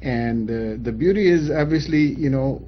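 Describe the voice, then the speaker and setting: Fifties male guest, founder type, casual and halting, slightly muffled studio sound. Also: mid-range voice. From Pakistan, raised in Saudi Arabia. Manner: hesitant, many fillers and restarts. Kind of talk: casual interview answers.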